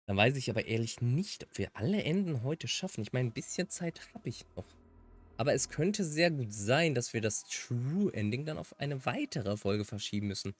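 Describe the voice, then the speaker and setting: A man's voice: mid-range voice, sing-song, moderate pace. A man who is a native German speaker, casually commenting on a game as a let's player.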